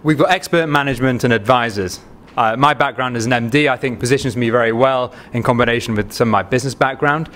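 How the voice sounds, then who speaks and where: Mancunian man giving an investor pitch. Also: quick pace, a bit bright. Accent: Manchester English. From England. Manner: chatty, with frequent ums and restarts. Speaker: company CEO presenting to investors. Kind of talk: conference pitch presentation. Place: conference room.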